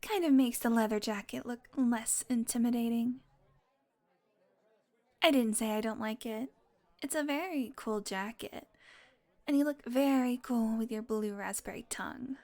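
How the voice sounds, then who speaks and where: A woman's voice: a little high, a little slow, a little mellow. A woman playing a sardonic, flirtatious, possessive female vampire in an intimate audio roleplay, talking teasingly to the listener and sometimes dropping into a murmur. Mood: flirty, playful. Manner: sardonic, teasing, casually swearing, slipping into breathy murmurs.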